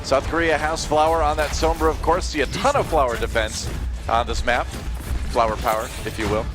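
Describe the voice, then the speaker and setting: A man's voice: medium pitch, fairly even intonation, fairly quick, music in the background. A man casting an esports match with play-by-play commentary, cracking wry jokes between calls. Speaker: esports caster.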